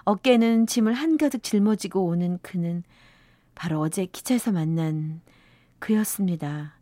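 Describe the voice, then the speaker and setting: A woman's voice: on the low side, moderate pace, dark tone, dry recording, lightly acted. A woman playing a wistful narrator in her fifties, telling a sentimental first-love story for a radio drama. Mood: wistful, reflective. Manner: sentimental, reflective.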